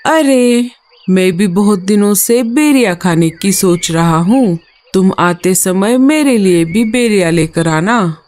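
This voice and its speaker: A woman's voice: mid-range voice, takes it slowly, a little mellow, lightly acted. A woman voicing a scheming, heartless old male crow with a sly, falsely friendly manner in an animal cartoon story.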